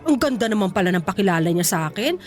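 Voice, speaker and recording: A woman's voice: medium pitch, expressive, racing, soft background music, natural voice. An actress with a Filipino accent playing a proud, self-possessed young mother and ex-wife in a radio romance drama. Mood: sarcastic, taunting.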